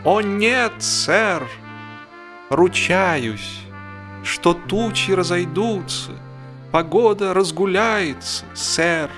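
An actor voicing a cheerful old man who gives drawn-out, deferential assurances in an audiobook comedy. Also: sits high, fairly bright tone, faint music behind, voice pushed up, full character voice. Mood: cheerfully assuring. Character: cheerful old man confidently promising fine weather.

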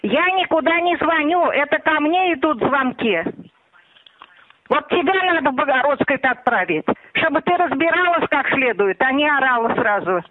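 A man's voice: very high-pitched, animated, normal speed, a little hiss or hum. A prank caller voicing an indignant middle-aged woman answering her home phone, insisting she never called. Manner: indignant, insistent, talks over others. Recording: tinny phone line.

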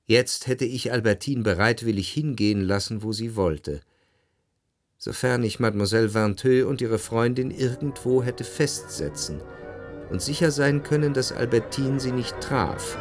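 An actor as a grave, brooding gentleman in a literary radio monologue. The voice is mid-pitched, reflective and deliberately emphatic, with clear music behind it. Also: natural voice. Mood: brooding, intense. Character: grave, philosophising gentleman brooding on love and suffering. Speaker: narrator.

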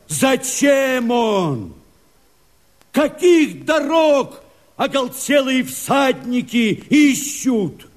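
An actor playing a proud, battle-hardened and anxious Cossack, declaiming verse vehemently with heavy theatrical stresses in a radio drama. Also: male voice, extremely high pitch, heavily acted. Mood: foreboding, alarmed.

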